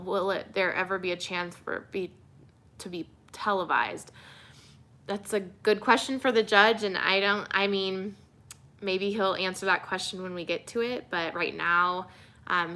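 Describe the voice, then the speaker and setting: A young woman TV reporter, relaxed and soothing, answering viewer questions in a casual livestream with many fillers. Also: lowish voice. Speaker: TV news reporter.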